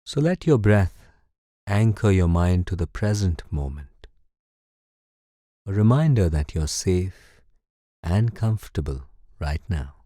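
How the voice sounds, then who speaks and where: A man leading a guided meditation in a calm, soothing, measured way. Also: deep voice, no echo, studio quality. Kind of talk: slow guided meditation narration.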